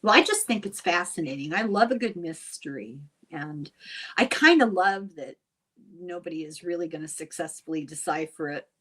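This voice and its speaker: A woman's voice: on the low side, moderate pace, sharp tone, slightly rough. A woman speaking as the host of a casual, conversational chat.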